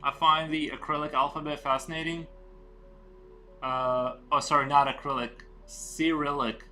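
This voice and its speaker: A man streaming while he draws, chatting casually in a rambling, relaxed way with lots of fillers. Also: fairly high pitch, moderate pace, soft background music. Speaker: streamer and artist. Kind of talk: casual live-stream chat while drawing.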